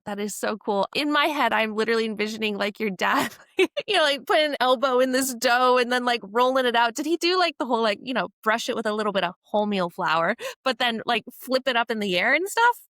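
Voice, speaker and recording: A woman's voice: on the high side, expressive, a little fast, few pauses, a bit bright, dry recording, studio quality. A woman in her twenties from Canada, hosting a podcast in a bubbly, upbeat way. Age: 20s. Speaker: podcast host. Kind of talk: casual podcast chat.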